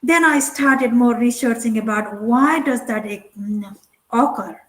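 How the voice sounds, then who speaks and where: Casting: middle-aged woman from Nepal, second-language English, slightly high voice, earnest keynote speaker with plenty of "um" and restarts. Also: slow. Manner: earnest, many fillers and restarts. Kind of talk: conference keynote talk.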